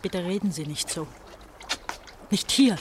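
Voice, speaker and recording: Radio play casting: actress as young woman, a pastor's daughter, trusting but troubled and confiding, over clear water trickle. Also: lowish voice, unhurried, lightly acted. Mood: pleading. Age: young adult. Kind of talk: radio drama acting. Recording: trickling water noise behind the voice. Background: trickling, dribbling water.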